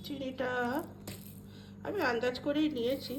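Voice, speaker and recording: A woman's voice: sits high, steady tone, moderate pace, background noise. A middle-aged woman with an Indian Bengali accent talks casually and informally, as in a homely cooking vlog, dropping in English and Hindi words. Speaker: home cooking vlogger. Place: indoors at home.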